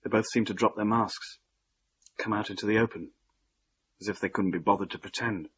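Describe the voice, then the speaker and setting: Low-voiced, earnest young man, played by an actor. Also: fairly even intonation, fairly quick, fairly bright tone, natural voice. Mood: troubled. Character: earnest, troubled young son-in-law caught in family feud. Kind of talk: British radio drama acting. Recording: dull, noisy radio play recording.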